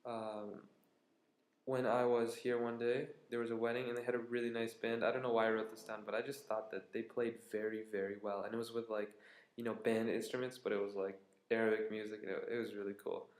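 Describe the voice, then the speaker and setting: A young American man telling stories to his vlog camera in a tired, rambling, relaxed way, indoors at a friend's apartment. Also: on the low side, a little fast. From United States. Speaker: vlogger. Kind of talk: casual storytelling vlog. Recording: echoey room.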